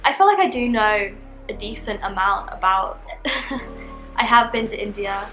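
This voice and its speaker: A woman of about twenty speaks with a southern British English accent at an ordinary pitch. She talks like a vlogger, candid and conversational, often repeating herself for emphasis. The room adds a noticeable echo, and music plays faintly underneath. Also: normal speed, pushed and bright. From London, England. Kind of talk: casual chat to camera.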